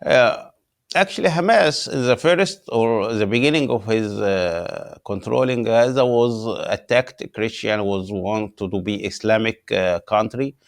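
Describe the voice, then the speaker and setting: A pastor from Upper Egypt talks in English with an Egyptian accent. His voice sits low and gravelly. He pauses often with "uh" as he hunts for words in a language that is not his first. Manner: halting non-native English with many "uh" pauses. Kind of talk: interview guest telling his story.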